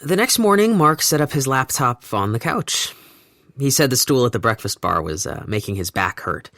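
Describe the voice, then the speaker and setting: A young man performing first-person audio drama narration as a man recounting his relationship during lockdown. He speaks in a wry, gently amused and reflective way. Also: medium pitch, big pitch swings, normal speed, dry recording, clean studio sound.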